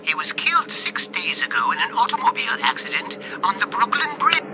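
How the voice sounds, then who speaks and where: A man's voice: high voice, huge pitch swings, brisk, muffled recording, over music, pitched above the performer's own voice. A man voicing a polite, formal and guarded housekeeper woman in a suspense radio play. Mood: grave.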